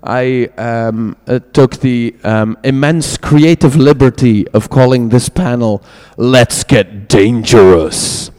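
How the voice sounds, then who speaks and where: A man's voice: mid-range voice, a little mellow. A man with a Dutch accent and a mid-range voice hosts a convention panel, stumbling through hesitations and joking at his own expense. The room gives his voice a long, echoing reverb. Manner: halting, self-deprecating, many hesitations. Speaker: panel moderator, host. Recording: echoey hall.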